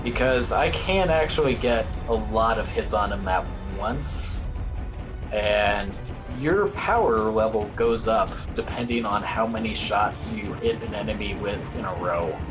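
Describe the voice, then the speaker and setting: A man giving casual, wry, self-deprecating live commentary as he plays through a video game as a speedrunner. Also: on the low side. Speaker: speedrunner commentating on own play.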